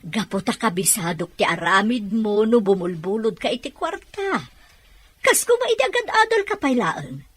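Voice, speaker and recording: Husky, swooping older-sister landlady. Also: medium pitch, big pitch swings, moderate pace. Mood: scolding. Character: exasperated but patient woman, landlady and moneylender. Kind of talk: Filipino radio drama acting.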